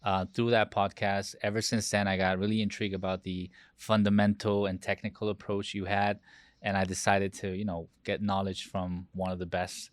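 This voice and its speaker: Host: adult man, steady low voice, little melody, admiring, stumbles on starts. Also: moderate pace, no room sound. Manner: warm, admiring, repeats words when starting. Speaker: podcast host. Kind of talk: interview hosting.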